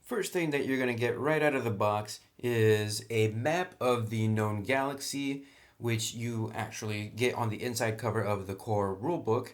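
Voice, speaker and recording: A man talks through the contents of a tabletop game box in a relaxed, plainspoken review, speaking at an ordinary middle pitch. He is recorded indoors by a camera on a tripod. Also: moderate pace, faint music behind. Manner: relaxed, plainspoken, says "actually" often. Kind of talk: casual product review, unboxing. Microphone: camera mic on a tripod.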